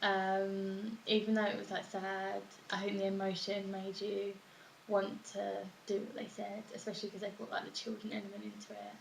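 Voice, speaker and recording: Creaky woman in an echoey room. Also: medium pitch, normal speed. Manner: tentative, filler-heavy "like" phrasing. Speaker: interviewee. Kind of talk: casual chat. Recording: echoey room with faint hiss.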